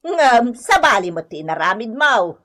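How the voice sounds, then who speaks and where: A woman's voice: on the high side, very animated, normal speed, fairly bright tone. An actress playing a reproachful older mother-in-law in a comedy radio drama, grumbling and admonishing.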